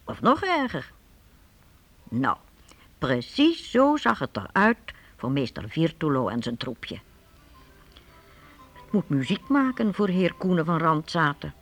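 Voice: mid-range voice, huge pitch swings, slow pace, pauses often. A storyteller narrating a radio play for young people, addressing listeners directly and expressively.

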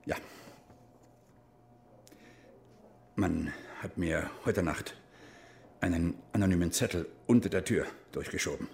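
A man's voice: low voice, normal speed, gravelly, a little mellow, slight background noise. An actor playing a middle-aged army major and father in a radio crime drama, talking in a paternal yet menacing way with probing little tag questions. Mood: urgent.

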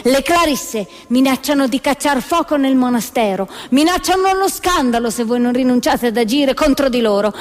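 A woman's voice: high-pitched, expressive, moderate pace, hardly any pauses, big-room sound. A woman playing bold noblewomen in a stage satire who threaten firmly with mock politeness. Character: bold noblewomen menacing a vicar with icy courtesy.

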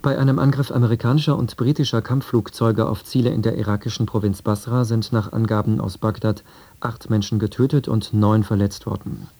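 A man reading a scripted radio news and weather bulletin in a sober, measured manner. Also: lowish voice, steady tone, warm tone. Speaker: radio newsreader.

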